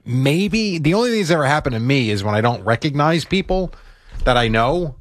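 A man's voice: on the high side, expressive, brisk, no room sound, studio quality. A man co-hosting a radio talk show, chatting casually. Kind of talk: casual radio chat, brief replies. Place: radio studio.

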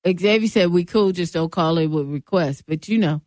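A woman's voice: fairly low pitch, normal speed, darkish tone, no echo. An American woman co-hosting a radio show in a studio, bantering casually and teasing as she chimes in. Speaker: radio co-host.

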